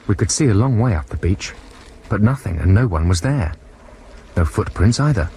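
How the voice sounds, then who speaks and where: A timid, naive young German geology student narrates a radio adventure. The voice is low and earnest, with a German accent, over clearly audible noisy effects. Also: male voice, lively intonation, normal speed, dark tone. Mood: wistful. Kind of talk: dramatised first-person narration. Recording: 1990s radio broadcast with noisy effects behind.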